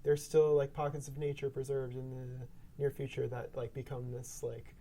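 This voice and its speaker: A man talking casually in a round-table discussion, halting and hesitant in his phrasing. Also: mid-range voice, level tone, warm tone.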